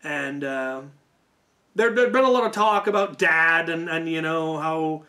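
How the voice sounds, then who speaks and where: A man telling a casual, animated story about a tabletop game, as a host who acts out quoted lines. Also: fairly high pitch, unhurried.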